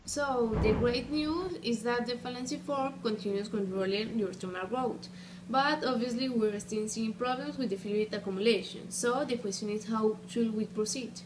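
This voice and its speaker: A woman's voice: a little high, normal speed, natural voice. A young woman in an amateur student drama playing a matter-of-fact oncologist explaining things plainly. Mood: clinical. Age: teenager or young adult, high school student. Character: matter-of-fact female oncologist giving serious news. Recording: amateur recording with clear background noise.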